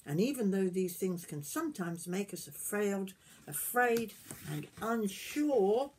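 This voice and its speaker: Slow-paced older woman reading a storybook. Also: medium pitch, very animated, a little hiss or hum. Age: 60s. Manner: gentle questioning, addressing young listeners directly. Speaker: granny reading storybooks to young children. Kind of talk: picture-book read-aloud with asides to children. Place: small wood-panelled garden room, indoors.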